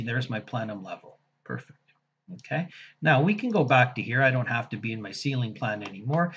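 Husky-voiced man, casual software tutor talking through clicks, dull lo-fi screen-capture recording. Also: mid-range voice. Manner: casual, thinking aloud while clicking through steps. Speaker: software instructor.

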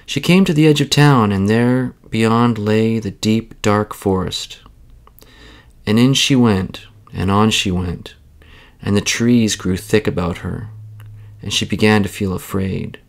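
A man narrating a children's bedtime story in a measured, expressive read-aloud style. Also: on the low side, creaky.

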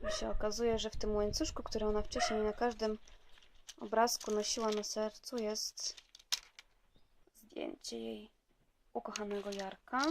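A woman's voice: mid-range voice, flat delivery, measured pace, gravelly, long pauses, noisy background. A woman presenting a handmade album. She reads its rhymed fairy tale aloud in a storybook voice, then slips into plain, chatty remarks. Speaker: craft maker presenting her handmade album.

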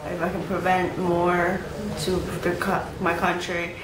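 Young woman from vietnam, slow, hesitant, lowish voice, echoey room, distant mic. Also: level tone, background noise. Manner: hesitant, many fillers. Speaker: youth program member.

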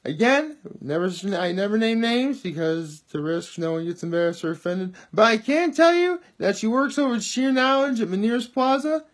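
A young man with a naturally loud, high voice talks straight to his phone camera at arm's length in his living room. He chats in an upbeat, rambling way, full of asides. The feel is an easygoing daily video diary. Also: expressive, normal speed, hardly any pauses. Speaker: vlogger. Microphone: phone camera held at arm's length.

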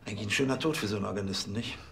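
A man's voice: on the low side, rapid-fire, fairly bright tone, slight background noise. An actor playing an irritable, pompous police chief in a TV crime comedy, hectoring his men. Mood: brisk, pompous.

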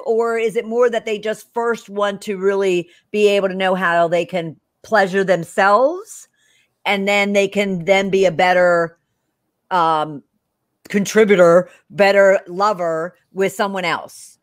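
An older woman hosting a show, interviewing a guest over a video call with upbeat, effusive enthusiasm. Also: medium pitch. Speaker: show host. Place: living room with couch.

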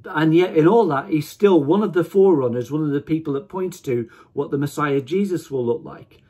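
A man in his fifties giving an informal sermon to camera, speaking emphatically in deliberate, broken phrases. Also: on the high side, dark tone, slightly rough. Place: small attic room at home, indoors.

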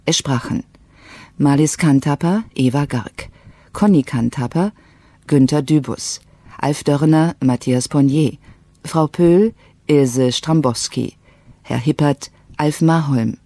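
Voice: medium pitch, slow pace, mellow, no echo. An announcer reading the credits of a radio drama in a scripted style.

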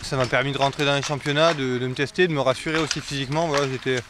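A young man athlete talking in a post-race interview outdoors at a snowy venue, measured and pausing often to find his words. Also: mid-range voice, steady tone. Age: young adult. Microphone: camera mic close to the face. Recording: outdoor noise in the background.

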